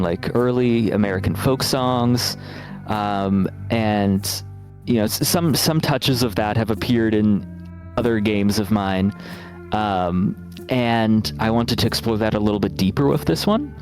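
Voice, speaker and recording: A man speaking as a game developer in a casual interview, explaining things in a thoughtful, measured way. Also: on the low side, a little mellow. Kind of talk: casual interview answers over a game stream. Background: guitar music.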